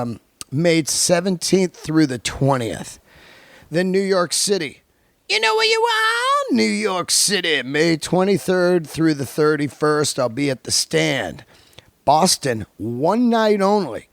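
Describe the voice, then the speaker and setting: A man hosting a podcast in an unscripted, excitable and effusive way, with slangy, sweary talk. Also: a little high, dry recording. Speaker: podcast host and comedian. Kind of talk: unscripted podcast intro and chat.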